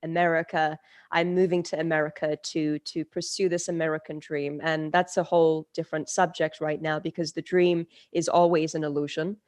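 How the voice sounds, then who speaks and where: A woman's voice: sits low, little pitch movement, normal speed, darkish tone, dry recording, clean studio sound. A woman from Turkey, a spiritual teacher, telling her life story in a podcast interview, reflective with many fillers and restarts.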